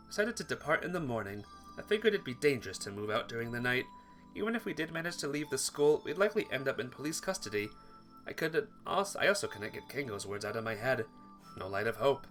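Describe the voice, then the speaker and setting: A man reads visual novel narration aloud in a bright, animated voice. He stumbles now and then and rereads the phrase, with music playing behind him. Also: medium pitch. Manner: stumbles over words and rereads them. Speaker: gamer reading a visual novel playthrough. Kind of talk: read-aloud first-person narration.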